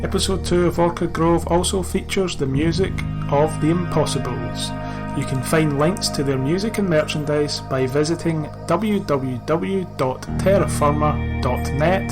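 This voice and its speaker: A man reads the closing credits in a clear, even announcing voice. His voice sits fairly high. Music plays clearly behind him. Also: normal speed. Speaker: narrator reading credits. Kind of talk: scripted credits reading.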